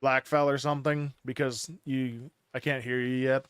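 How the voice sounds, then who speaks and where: A man's voice: mid-range voice, flat delivery, moderate pace, a bit bright, clean tone, dry recording, studio quality. A man streaming a game, chatting casually and explaining at length with many restarts, on a headset mic. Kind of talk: casual gaming chat.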